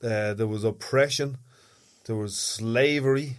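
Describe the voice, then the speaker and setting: A man's voice: medium pitch, unhurried, clean tone. An Irishman co-hosting a podcast in casual conversational chat. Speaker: podcast co-host.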